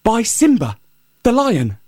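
A sly, courtly hare with a high, wheedling voice, as a man puts him on in a told storybook tale. He sounds bright and lively, bouncing up and down in pitch as he flatters and coaxes. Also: slow, husky, no echo, studio quality, full character voice.

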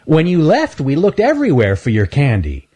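A man's voice: a little high, wildly swooping pitch, normal speed, warm tone, breathy edge, voice pushed up, full character voice. A man voicing a cocky, teasing male storybook critter with a smug, mocking way of talking. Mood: surprised.

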